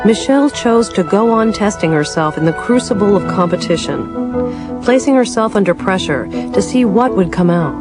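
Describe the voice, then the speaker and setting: A woman's voice: medium pitch, expressive. Woman narrating a documentary over music. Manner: measured and formal. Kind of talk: scripted narration.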